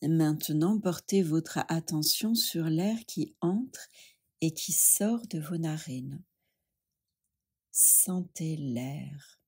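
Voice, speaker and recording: Woman doing guided meditation, soft husky low voice, lots of pauses, soothing. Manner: soothing, gentle, with long pauses. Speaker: yoga nidra instructor.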